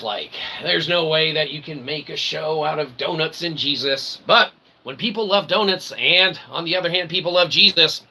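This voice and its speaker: A man's voice: fairly high pitch, vocal fry, sharp tone, a little hiss or hum, low-quality recording. A man hosting a web show in a rambling, casual, self-deprecating monologue, folksy and full of tag questions.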